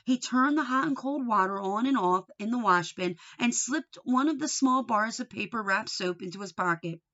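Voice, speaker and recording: A woman's voice: medium pitch, a bit bright. Woman reading a children's book, muffled sound. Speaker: storybook reader. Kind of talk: children's book read-aloud.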